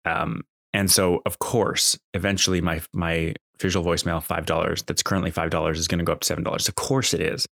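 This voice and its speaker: A man's voice: deep voice, flat delivery, fairly quick, gravelly, fairly bright tone, no echo, studio quality. A man talking casually off the cuff as a podcast host, in a conversational, exasperated, complaining way. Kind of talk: casual unscripted monologue.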